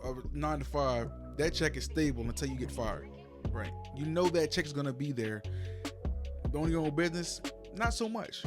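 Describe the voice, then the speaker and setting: A man's voice: a little high, lively intonation, normal speed, music in the background. A man hosting a reaction video, explaining his views in a casual, lecturing way and often repeating himself. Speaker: commentary host reacting to a clip.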